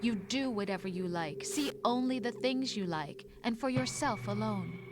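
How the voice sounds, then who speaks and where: A woman's voice: mid-range voice, moderate pace, lightly acted. A voice actress playing a cold young female AI posing as a lover in a video game, pointed, accusing and controlled. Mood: bitter, reproachful. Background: game music.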